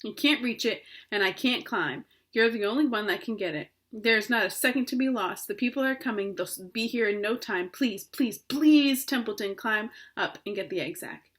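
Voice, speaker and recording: A woman voicing an anxious, earnest young male pig who pleads in a high, emotional voice. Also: a little fast, few pauses, lightly acted. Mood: desperate. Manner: pleading, rises high and loud when upset.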